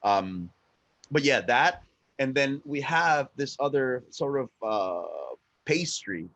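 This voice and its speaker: A man's voice: medium pitch, sing-song, slow pace, a bit bright, no echo, dull audio. A man from Honduras speaking as a guest in a casual interview, repeating words and checking in with "right?" as he tells stories. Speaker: guest, cigar brand ambassador.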